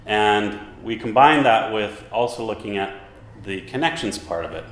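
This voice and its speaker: A man's voice: lowish voice, expressive, moderate pace, fairly bright tone. A man who organized an exhibit, explaining its idea in an interview. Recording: echoey with faint ambience.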